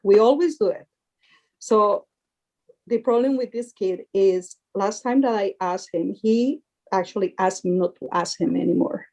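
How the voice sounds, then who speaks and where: A woman with a soft, mellow tone talks earnestly as a parent on a panel. She often restarts and repeats words as she looks for the right phrasing. Her pitch sits in an ordinary middle range. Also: measured pace, low-quality recording. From Costa Rica. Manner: earnest, repeats words while searching for them. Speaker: parent of young actors. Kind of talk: panel discussion.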